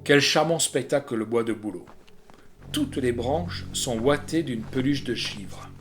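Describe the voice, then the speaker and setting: A man reading a literary gothic tale as an audiobook, in an expressive, measured and dramatic storytelling manner. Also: mid-range voice. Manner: dramatic, measured storytelling with swells and hushed drops. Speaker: audiobook reader. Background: music, guitar.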